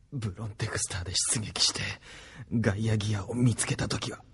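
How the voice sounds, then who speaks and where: Hurt proud anime pilot, really raspy and breathy, tense strained voice, struggling to talk. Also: male voice, lowish voice, fairly even intonation, moderate pace, a bit bright, full character voice. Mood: wry, proud. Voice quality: husky, gravelly. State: injured, breathy, straining to speak. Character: wounded, proud male enemy pilot. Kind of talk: Japanese anime audio drama acting.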